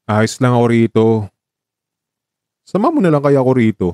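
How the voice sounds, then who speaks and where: Weary young man, acted by a man, romantic radio drama, mellow tone, dry recording, guarded, understated, evasive. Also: mid-range voice, big pitch swings, moderate pace, no echo, natural voice. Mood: reassuring.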